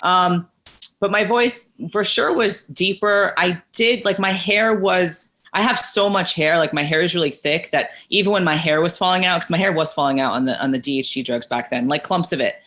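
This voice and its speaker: A woman in her thirties with a naturally deeper voice, speaking as a guest over a video call in a chatty, rambling way. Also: moderate pace, a bit bright. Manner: chatty, rambling, lots of likes and restarts. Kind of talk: candid casual interview. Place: living room at home.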